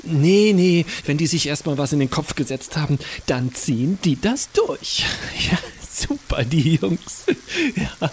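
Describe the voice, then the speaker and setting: An actor voicing an eager, fawning, polite cannibal office worker in a comic audio drama, gushing and stammering with awe. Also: male voice, on the high side, very animated, slow, hardly any pauses, a little hiss or hum, full character voice. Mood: chatty, apologetic.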